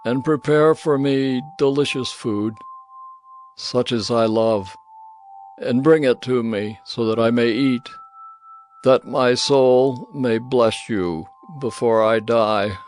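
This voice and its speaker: Casting: man to play elderly biblical father, solemn, very unhurried delivery, music bed behind. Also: mid-range voice, darkish tone, natural voice. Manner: slow, deliberate, solemn. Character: old, dim-eyed father Isaac, solemn patriarch. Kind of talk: dramatized Bible audio acting. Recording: music under the voice.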